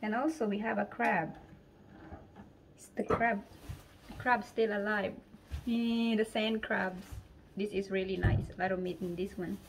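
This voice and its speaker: A woman's voice: medium pitch, measured pace, vocal fry, long pauses, noisy background. A woman in her thirties with a Filipino accent, vlogging casually on a phone camera and exclaiming often. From Philippines. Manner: excitable, exclaiming and laughing often. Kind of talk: casual vlog narration. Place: beach outdoors, later home kitchen.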